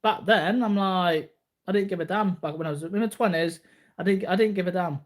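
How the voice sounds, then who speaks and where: A man in his early forties with a slightly high voice speaks off the cuff to his viewers. He rambles in a casual, boastful way, circling back and repeating his phrases. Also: a little fast. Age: early 40s. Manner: repetitive, boastful. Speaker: martial arts vlogger.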